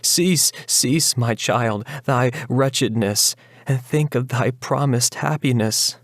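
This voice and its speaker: A man's voice: medium pitch, slow, no echo, studio quality. A man voicing a pious older mother, tender and admonishing, imploring in a prayerful way in a dramatic ballad reading.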